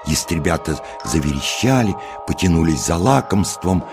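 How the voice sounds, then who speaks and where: A man's voice: sits low, animated, moderate pace. A man narrating a scripted children's story as a storyteller. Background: music.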